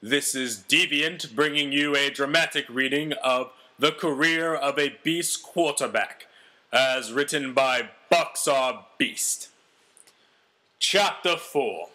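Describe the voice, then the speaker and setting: A man reading a story aloud as a dramatic narration, deliberate and mock-serious. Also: mid-range voice, pushed and bright. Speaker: amateur reader of fan fiction.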